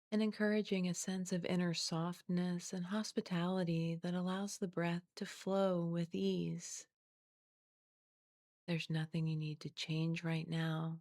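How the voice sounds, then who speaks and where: A woman guiding a body scan meditation in a gentle, soothing, unhurried way, leaving long pauses between scripted phrases. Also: lowish voice, dry recording, clean studio sound. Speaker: guided meditation teacher.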